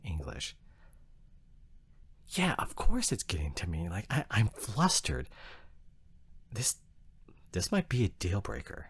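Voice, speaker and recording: A man playing an exasperated, flustered, snobbish young anime fan boyfriend in an ASMR roleplay, arguing in halting bursts with repeated words. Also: low voice, husky, heavily acted. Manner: halting, repeats words, sighing emphatic rant. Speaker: audio roleplay performer.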